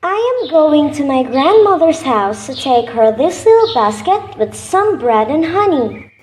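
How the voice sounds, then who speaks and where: A woman's voice: high voice, very animated, unhurried, darkish tone, slight background noise. A woman acting a sweet, trusting, polite little girl in a children's radio drama.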